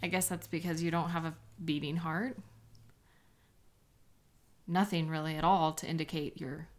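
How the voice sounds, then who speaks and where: A woman playing an embittered, contemptuous police sketch artist with a sarcastic, scornful manner in a dramatic audio roleplay. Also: fairly low pitch.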